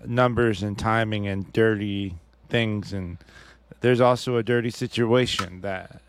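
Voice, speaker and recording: A man with a lowish, smooth voice chats on a podcast. He speaks hesitantly, restarting sentences and hedging his views. The sound is dry and close. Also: steady tone, measured pace, dry recording. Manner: hesitant, restarts often, hedges his opinions. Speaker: podcast co-host. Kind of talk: casual podcast chat. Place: podcast studio.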